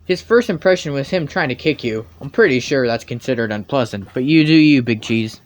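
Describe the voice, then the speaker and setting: A man's voice: medium pitch, lively intonation, a little fast. A young man giving humorous, informal scripted commentary on a video game, with a sarcastic edge.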